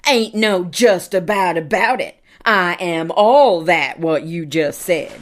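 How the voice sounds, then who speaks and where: A woman playing a tough, cantankerous frontier scout fish in a Western comedy audio drama, with gruff, folksy, combative talk. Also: on the low side, slow, a little hiss or hum. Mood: brash, proud.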